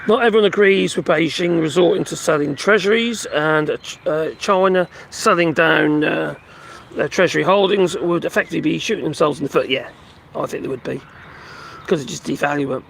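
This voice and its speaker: Male streamer, voice fading and hoarse, halting news chat with plenty of uhs and restarts, slightly raised pitch. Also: fairly bright tone, slight background noise. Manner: rambling, halting, many fillers and restarts; talks to his pets between remarks. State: losing his voice. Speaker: livestream host reading and commenting on news. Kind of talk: casual live commentary on news. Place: at home with animals nearby.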